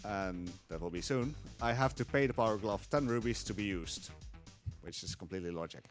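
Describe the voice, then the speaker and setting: A man's voice: lowish voice, brisk, faint music behind. A man with a Dutch accent giving casual live commentary on his speedrun, explaining as he plays.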